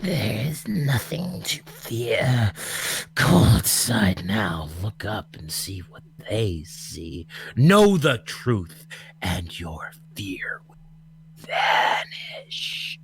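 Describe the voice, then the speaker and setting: A man's voice: on the low side, big pitch swings, vocal fry, full character voice. A man voicing a sinister, coaxing broadcast voice in a radio drama read-through, strained, whispery and gargling. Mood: eerie, coaxing. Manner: slow, coaxing, ominous. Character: sinister gargling broadcast voice luring people to look.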